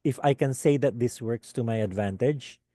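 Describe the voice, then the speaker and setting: A man with a Filipino accent and a low voice, giving a webinar lecture to teachers and often checking in with "okay?". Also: normal speed, dry recording, clean studio sound. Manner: animated, repeats "okay?" often. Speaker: teacher trainer.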